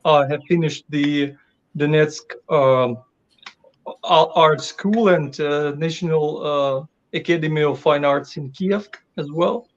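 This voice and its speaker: A man's voice: fairly high pitch, steady tone, slow pace. A man from Ukraine, a guest artist talking about his work on a casual call, with many fillers and restarts. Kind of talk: casual interview over a call. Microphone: video call. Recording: dull lo-fi call audio.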